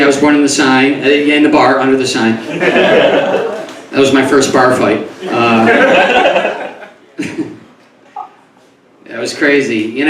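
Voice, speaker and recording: High-voiced rambling New York man onstage. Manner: rambling, self-interrupting, loud comic outbursts. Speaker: stand-up comedian. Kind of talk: stand-up comedy set. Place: comedy club stage before a live audience. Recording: echoey club recorded from a distance, crowd audible.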